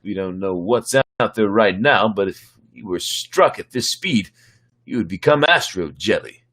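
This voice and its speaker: A man's voice: fairly low pitch, animated, measured pace, a bit bright, pitched below the performer's own voice. A man playing a stern, sardonic starship captain with a dry, commanding manner in a sci-fi story reading. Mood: formal.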